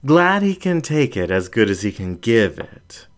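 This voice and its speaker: A man voicing a teasing adult female cat in an audiobook, with a slow, affectionate manner. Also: medium pitch, big pitch swings. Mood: fond, teasing.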